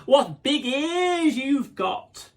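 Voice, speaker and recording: A wide-eyed young boy from a children's storybook, put on by a man. He is worried but quick-witted, exclaiming in a raised, very lively pitch. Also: exaggerated voice. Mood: astonished.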